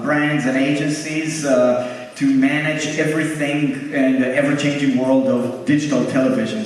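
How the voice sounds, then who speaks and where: A man with an Israeli accent speaking on a conference panel, halting often and joking about himself. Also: mid-range voice, darkish tone. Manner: halting with many "uh"s, self-deprecating. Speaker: panelist, tech co-founder. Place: large conference hall. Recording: echoey hall from far off, faint noise.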